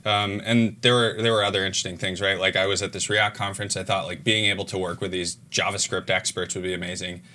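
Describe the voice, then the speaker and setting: A man working as an engineering manager, answering panel questions in a relaxed, rambling way with many ums. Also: low voice, quick pace, few pauses.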